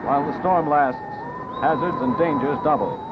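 A man's voice: a little high, moderate pace, very noisy. A man reading scripted wartime documentary narration in a dramatic, solemn 1940s newsreel manner. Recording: dull 1940s film soundtrack.

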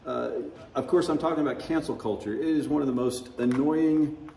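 Man, pastor, gravelly, conversational sermon, echoey church hall, distant mic. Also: mid-range voice, steady tone, moderate pace, warm tone, slight background noise. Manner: conversational, wry, chuckles at own remarks. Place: church sanctuary.

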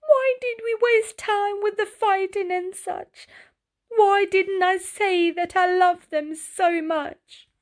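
A woman's voice: extremely high pitch, steady tone, takes it slowly, voice pushed up. A woman voicing a small young male snail, a plucky, dramatic storybook dreamer who speaks with open emotion. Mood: tearful regret. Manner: exclamatory, emotional. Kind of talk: children's storybook character voice.

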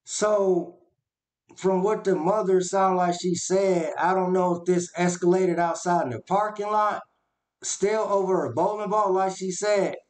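A man's voice: high voice, fairly even intonation, unhurried. A man hosting a reaction video from a small home room at a webcam, talking earnestly and casually, with a lot of "man" and "y'all". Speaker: reaction channel host. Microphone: webcam at desk.

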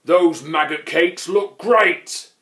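A man's voice: on the high side, slow pace, pitched above the performer's own voice. A man voicing a grumpy, horrid storybook troll who growls, snarls and bellows. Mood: gruff, eager. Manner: growling, snarling, shouted delivery.

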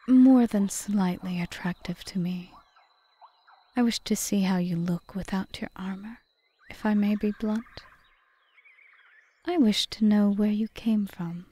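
A woman playing a cunning, possessive, seductive witch in an audio roleplay, speaking in a formal, measured, softly whispery way. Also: medium pitch, lightly acted. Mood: intrigued, seductive. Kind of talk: ASMR audio roleplay, scripted monologue.

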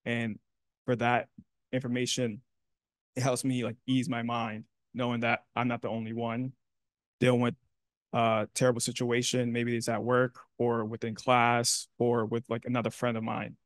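A young man speaking earnestly and in a measured way as a panelist in a university classroom. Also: mid-range voice, monotone, dry recording. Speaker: panelist, alumnus. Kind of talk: panel discussion.

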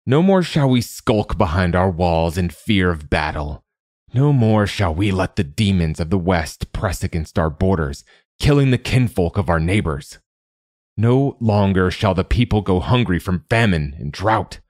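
A man's voice: a bass voice, lively intonation, normal speed, hardly any pauses, dark tone, dry recording, clean studio sound. A man voicing an eager, ambitious young prince turned king in a storybook, with a declamatory, commanding manner. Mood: proud, rousing.

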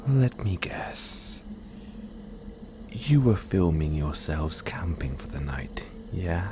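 A man playing a playful, menacing, possessive werewolf in an audio roleplay, teasing the listener in a breathy, half-whispered, predatory way. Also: sits low, big pitch swings, slow pace, music in the background, exaggerated voice. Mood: teasing, sinister.

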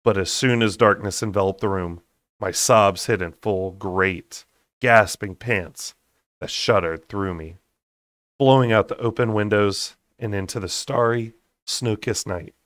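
A young adult man narrating a fantasy novel as an amateur audiobook reader, with deliberate, dramatic phrasing. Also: fairly low pitch, a bit bright, no echo, studio quality. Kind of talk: unedited expressive audiobook reading of a fantasy novel.

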